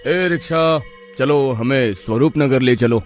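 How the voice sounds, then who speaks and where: A man's voice: mid-range voice, measured pace, faint music behind, close to an everyday voice. A man playing an eager, trusting young husband from a village in a dramatised horror story, earnest and exclaiming. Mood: eager, polite.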